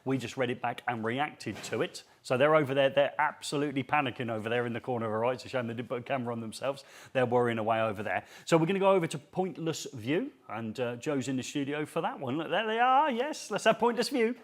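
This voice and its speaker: A man's voice: mid-range voice, quick pace, few pauses. A man hosting a live show, chatty and joking, telling stories. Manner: chatty, jokey storyteller. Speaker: host.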